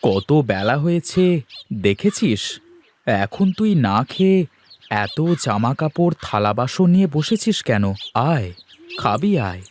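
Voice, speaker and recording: A man voicing a caring, protective village father in a cartoon, with an expressive, fatherly, questioning manner. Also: mid-range voice, unhurried. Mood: concerned. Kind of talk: cartoon voice acting. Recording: music behind the voice.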